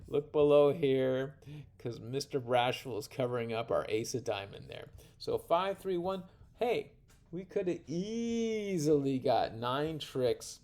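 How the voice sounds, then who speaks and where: A man with a fairly high, gravelly voice talks through a card game lesson at an unhurried pace. He is folksy and playful, dropping in exclamations and wandering asides as he explains each step. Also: darkish tone. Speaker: card game instructor and presenter. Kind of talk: informal tutorial narration walking through card hands.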